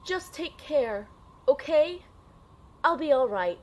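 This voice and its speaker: A woman's voice: sits high, lively intonation, slow pace. A woman playing an earnest young female agent in an audio drama, dramatically telling her own adventure. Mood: frightened but brave. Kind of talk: dramatic first-person narration and audio drama acting. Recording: clear background noise.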